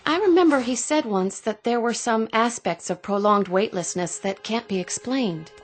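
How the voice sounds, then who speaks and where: An actress playing a worried, gently reassuring wife and mother in a radio drama, choosing her words with care. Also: a little high, moderate pace, faint music behind, lightly acted. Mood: soothing, careful. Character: worried, soothing wife and mother of a troubled officer.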